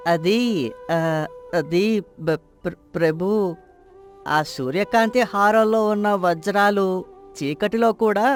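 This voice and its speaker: A man playing deceitful palace men, a prince and a guard, in a folk tale drama, insistent but uneasy. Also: sits high. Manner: insistent, faltering when challenged. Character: scheming young prince and lying, nervous palace guard.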